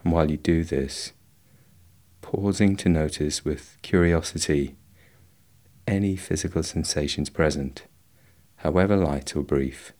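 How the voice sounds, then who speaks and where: Very deep-voiced male meditation guide. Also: a little mellow. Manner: slow, measured, instructional with long pauses. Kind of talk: guided body scan meditation.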